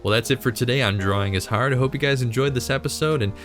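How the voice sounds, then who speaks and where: A man's voice: mid-range voice, fast, fairly bright tone, faint music behind. A man hosting a video channel, chatting casually in a rambling, jokingly incredulous way with frequent self-interruptions. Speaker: YouTube cartoon channel host.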